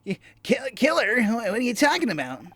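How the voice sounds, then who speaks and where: A man's voice: very high voice, wildly swooping pitch, a little fast, a bit bright, no room sound, voice pushed up, heavily acted. A man voicing a nervous, starstruck young male superfan in a video game, stammering and hesitant. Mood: confused.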